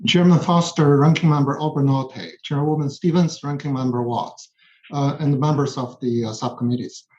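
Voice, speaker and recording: A man's voice: medium pitch, steady tone, normal speed, darkish tone. A man from China with a Chinese accent reads a personal statement as a witness. He is formal and a little hesitant, and his voice comes through muffled in a reverberant room. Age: middle-aged or older. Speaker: physics professor, witness. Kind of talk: personal prepared testimony. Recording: muffled, echoey room sound.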